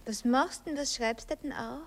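Sweet, naive girl, high-pitched, coy and artless, colloquial Viennese accent, radio play with music. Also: normal speed. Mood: mildly puzzled. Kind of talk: German radio play acting.

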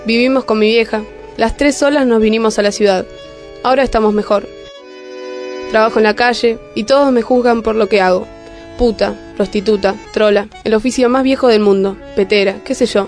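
A woman's voice: a little high, a little mellow, natural voice. A woman playing a weary young single mother who works the streets, narrating plainly in a radio drama. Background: music.

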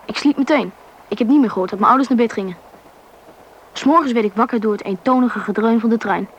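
A woman playing a young boy who narrates a radio play in measured storytelling. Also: a little high, slight background noise, close to an everyday voice. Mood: reflective. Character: young boy narrating his family's train journey.

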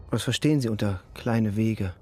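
An actor playing a polite, considerate police detective who asks tactful questions in a naturalistic radio drama. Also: male voice, lowish voice, moderate pace, warm tone, slightly rough. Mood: gentle, tactful.

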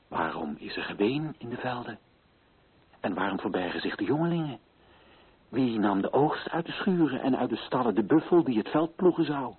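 A muffled, lo-fi old radio drama recording of an earnest colonial official. His voice is husky and swings widely in pitch as he declaims in formal, righteous, solemn phrases. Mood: solemn, grave. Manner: declamatory, formal, rhetorical questioning. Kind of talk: theatrical radio drama oratory.

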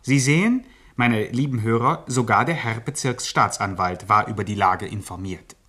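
An actor playing a wry, mock-serious narrator who addresses listeners floridly in a satirical gangster radio play. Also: male voice, on the low side, normal speed, a bit bright, breathy edge.

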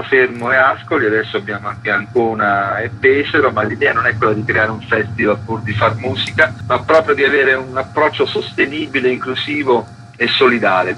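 A man's voice: medium pitch, sharp tone, rough recording. A man explaining things as an interview guest on the radio. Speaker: festival curator, interview guest.

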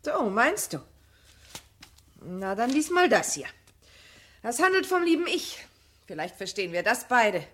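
An actress playing a petulant, shallow, anxious wife hooked on television, in a 1970 German radio drama. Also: female voice, on the high side, sing-song, a little slow, long pauses, fairly bright tone, full character voice. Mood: jealous, mocking. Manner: petulant, agitated, repeating words.

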